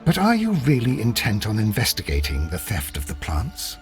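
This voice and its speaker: An actor playing a courteous, easily exasperated Victorian English doctor and sidekick in a video game, with a proper, gently sardonic manner. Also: male voice, lowish voice, wildly swooping pitch, moderate pace, faint music behind. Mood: pleasant, curious.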